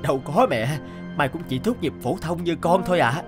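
A man playing an earnest, gentle young working man and devoted son in a cartoon. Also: fairly high pitch, normal speed, close to an everyday voice. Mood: cheerful. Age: young adult. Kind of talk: Vietnamese cartoon dialogue. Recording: loud music under the voice.

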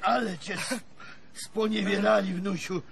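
Creaky, weary old grandfather. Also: sits high, fairly even intonation, a crowd in the background, full character voice. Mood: resigned, confiding. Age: older. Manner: slow, lamenting, folksy. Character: weary, grumbling old grandfather, fatalistic but fond. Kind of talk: radio play acting. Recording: music under the voice.

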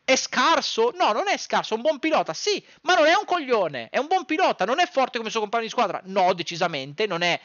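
A man's voice: very high voice, big pitch swings, fairly quick, hardly any pauses, fairly bright tone, dry recording, muffled recording. A man delivers heated, exasperated, foul-mouthed commentary to camera, hammering home points by repeating phrases. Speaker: sports content creator. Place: small dim room at home.

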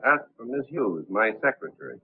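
An actor playing a suave, unflappable gentleman impostor in a 1930s film, speaking politely and smoothly. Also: fairly low pitch, big pitch swings, normal speed, heavily acted. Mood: smoothly unruffled. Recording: muffled, tinny 1930s film soundtrack.

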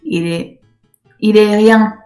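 A woman's voice: medium pitch, fairly even intonation, slow pace, warm tone, faint music behind. A young woman chatting casually on a webcam call. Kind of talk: casual chat. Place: small room, indoors.

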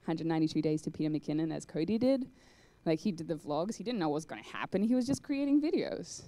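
A woman's voice: sits low, fast talker. A young woman on stage with a handheld mic, giving a chatty conference talk in a cinema hall and answering questions. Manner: chatty, animated, lots of "like" and "um". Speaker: conference speaker. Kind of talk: live talk and Q&A.

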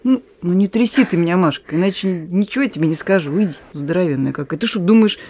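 A woman's voice: fairly low pitch, lively intonation. An actress in a radio play voicing a bold, teasing young woman who is a wartime partisan messenger. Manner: playful, teasing, brisk, sometimes singing softly.

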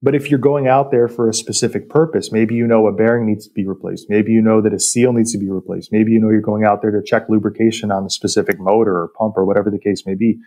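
A man speaking as an expert podcast guest, explaining industrial work in a measured, technical way. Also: fairly low pitch, steady tone, warm tone. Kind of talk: explanatory interview talk about industry.

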